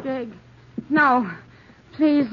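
An actress playing a frightened young wife, pleading in a 1950s radio drama. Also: female voice, high-pitched, very slow. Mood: terrified, pleading. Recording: noisy, muffled old radio recording.

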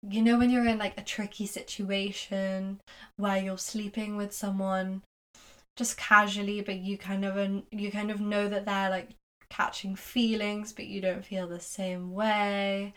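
Casting: young woman podcast host, medium pitch, slow pace, casual, frank and giggly. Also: level tone, fairly bright tone. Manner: informal, giggly, frank about sex.

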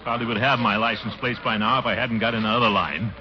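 A man's voice: on the low side, steady tone, quick pace, close to an everyday voice. A man playing a pompous, blustering middle-aged uncle and city official in a 1940s radio comedy. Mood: grumbling. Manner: pompous, blustering, exclamatory, muttering to himself. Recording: muffled, noisy 1940s radio recording.

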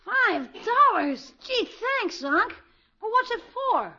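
A man's voice: very high-pitched, big pitch swings, slow, pushed and bright, exaggerated voice. A man playing a cheeky, scheming young boy in a 1950s radio sitcom, with a youthful, brash, slangy delivery. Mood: grateful. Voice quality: youthful, boyish. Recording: dull vintage radio recording.

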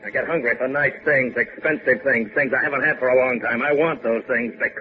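An actor playing a menacing, greedy, domineering ship's captain and smuggler in a 1950s radio drama. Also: male voice, mid-range voice, brisk, close to an everyday voice. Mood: bullying, greedy. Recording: muffled 1950s radio recording.

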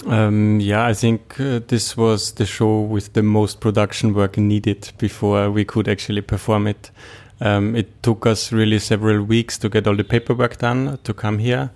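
Young male interviewee, Austrian accent, low even voice, hesitant delivery. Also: normal speed. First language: German. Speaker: juggler, interviewee. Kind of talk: casual interview answers.

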